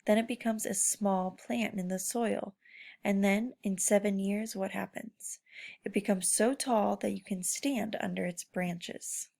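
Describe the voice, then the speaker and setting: A woman with a gravelly, creaky edge to her mid-pitched voice teaches young children informally. She speaks as a schoolteacher, guiding them with questions and simple instructions. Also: fairly even intonation, a little slow, darkish tone. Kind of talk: informal read-aloud lesson for children.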